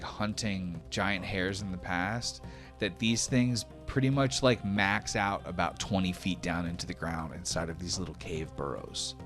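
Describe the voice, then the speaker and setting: A man with a fairly low voice runs a tabletop role-playing game. He talks through rules and scenes in an unscripted, conversational, explanatory manner. Faint music plays behind him. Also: fairly bright tone.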